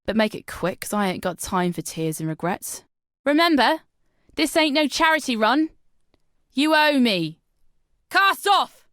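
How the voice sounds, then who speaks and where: A woman's voice: sits high, very animated, a little slow, a bit bright, no room sound, clean studio sound, heavily acted. A woman playing a roguish, plain-spoken pirate sea captain in a video game, with a salty, cocky, colloquial way of talking. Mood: brash, bold. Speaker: game voice actor. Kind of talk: video game character dialogue.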